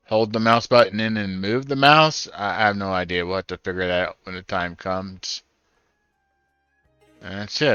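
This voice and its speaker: A man casually narrates his playthrough, musing aloud as he goes. His voice is low and clear, recorded dry with game music behind it. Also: pushed and bright, no room sound, muffled recording. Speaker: gaming streamer. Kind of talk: casual first-time playthrough commentary.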